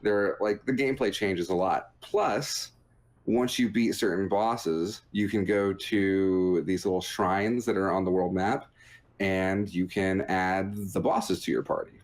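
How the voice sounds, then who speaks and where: A man's voice: low voice, fairly even intonation, a little slow. A man co-hosting a gaming podcast in casual chat, with a jokey, rambling way of talking. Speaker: podcast co-host reviewing video games.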